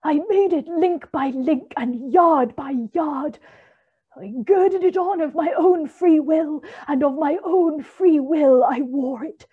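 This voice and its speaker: A woman's voice: high-pitched, takes it slowly, narrow, tinny audio, pitched above the performer's own voice. A woman voicing the anguished ghost of an old businessman, weighed down by chains, wailing and drawing out her words. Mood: mournful.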